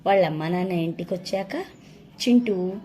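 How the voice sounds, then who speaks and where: A woman's voice: lowish voice, normal speed, warm tone. A woman narrating a children's story as a storyteller. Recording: faint background noise.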